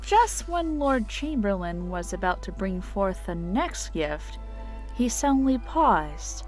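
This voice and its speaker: A woman's voice: medium pitch, big pitch swings, slow, a little mellow, rough recording. Female storyteller, mid-range voice, scripted dramatic narration, faint music bed.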